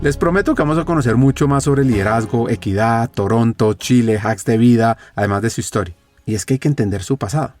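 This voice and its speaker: A man's voice: mid-range voice, brisk, a little mellow, soft background music. A podcast host narrating a guest's background and asking questions, speaking straight to his listeners. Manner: storytelling, addressing listeners directly. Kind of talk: scripted narration and interview questions.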